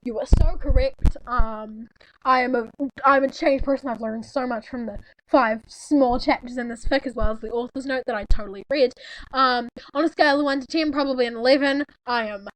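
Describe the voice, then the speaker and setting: A woman's voice: high voice, fairly even intonation, a little fast, a bit bright, no echo, poor audio. A young woman chatting casually with a friend, with a giggly manner and mock-dramatic sarcasm. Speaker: co-host reading fanfiction. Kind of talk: casual joking chat on a call with a friend.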